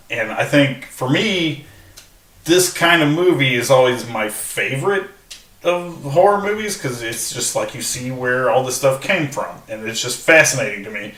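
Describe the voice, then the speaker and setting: A man's voice: mid-range voice, a bit bright, far from the mic. A man hosting a podcast, chatting loosely and eagerly at a table. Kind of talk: casual roundtable chat. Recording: echoey room, away from the mic.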